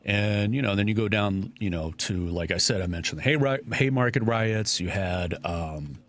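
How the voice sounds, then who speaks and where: Low-voiced man hosting talk radio. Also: normal speed, a bit bright. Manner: conversational, many fillers and restarts. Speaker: radio show host. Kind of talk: casual talk radio discussion.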